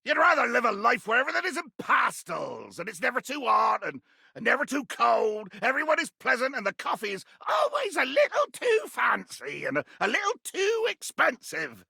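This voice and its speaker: A man voicing a bloodthirsty, irascible pirate captain for a video game, ranting theatrically in archaic sea-dog speech with a gravelly growl. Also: extremely high pitch, wildly swooping pitch, normal speed, bright, forceful tone, dry recording, clean studio sound, full character voice. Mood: scornful, fiery. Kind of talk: video game character acting.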